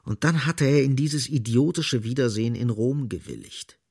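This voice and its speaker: An actor as the sardonic narrator of a radio play, delivering literary prose in a grave, emphatic, ironic way. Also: male voice, mid-range voice, normal speed, a little mellow, husky.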